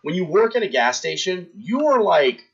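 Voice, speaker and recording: A man's voice: high-pitched, big pitch swings, moderate pace, vocal fry, slightly rough, highs cut off. Young man rambling through a story. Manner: rambling anecdote, talks to chat, shouts out followers. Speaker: livestream host. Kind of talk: casual storytelling on a livestream.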